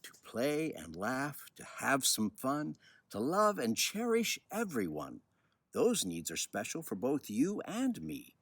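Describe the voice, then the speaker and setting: Husky, very animated older man. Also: medium pitch. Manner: expressive, unhurried storytelling. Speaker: children's book author reading aloud. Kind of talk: personal commentary and storybook reading.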